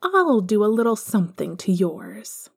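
A woman's voice: mid-range voice, big pitch swings, a little slow, creaky, slightly rough, full character voice. A woman voicing a bossy, affectionate, teasing young woman friend in an audiobook. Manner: teasing, insistent. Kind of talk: audiobook fan fiction character dialogue.

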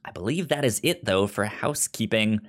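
A man hosting a casual podcast, speaking slowly with frequent stutters, fillers and restarts. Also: lowish voice, lively intonation. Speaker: podcast host.

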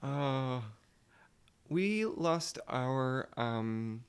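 A man speaking as a guest in a casual panel discussion, thoughtful and often pausing to search for words. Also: mid-range voice, lively intonation, clear, resonant tone.

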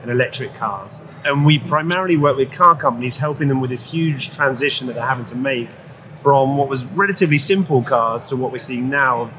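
A man's voice: medium pitch, a little fast. A man, an executive guest, explaining his field conversationally in an interview at a trade show. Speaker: guest, company chief executive. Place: live at an automotive trade show. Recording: noisy trade show floor. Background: clear ambient noise.

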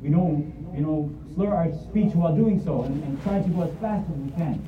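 A man's voice: on the high side, moderate pace, background noise. A man giving an earnest religious lesson, repeating his points for emphasis and reciting verses in Arabic. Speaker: Islamic lecturer. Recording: tinny, echoey, distant phone-like sound.

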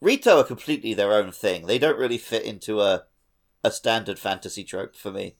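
A man with a fairly low voice co-hosts a gaming podcast. He is chatty and casual, and he drifts happily into tangents as he talks. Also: animated, a little fast. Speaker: podcast co-host.

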